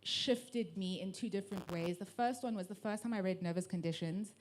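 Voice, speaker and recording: A young woman from Africa, speaking thoughtfully on a book panel with pauses and restarts. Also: mid-range voice, darkish tone, a little hiss or hum, low-quality recording. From South Africa. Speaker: panelist, online book lover. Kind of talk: casual panel talk.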